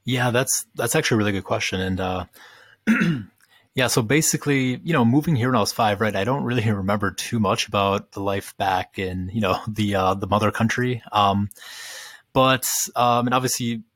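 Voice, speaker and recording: A man's voice: on the low side, moderate pace, a bit bright, no room sound. Rambling young man, very dry sound. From Ukraine. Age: 20s. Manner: rambling, many fillers and restarts. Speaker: entrepreneur guest. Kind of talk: casual interview.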